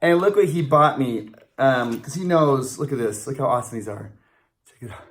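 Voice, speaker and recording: An American man in his forties talks to the camera as a co-host, with a mid-pitched voice. His delivery is chatty, excitable and full of playful banter. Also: moderate pace. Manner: animated, enthusiastic, jokey. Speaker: video channel co-host, convention MC. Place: small indoor room before a banner.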